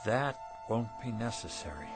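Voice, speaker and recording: Doubting shopkeeper husband, male actor: blunt, incredulous, animated radio drama delivery. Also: lowish voice, moderate pace, over music, natural voice. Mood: wary, challenging.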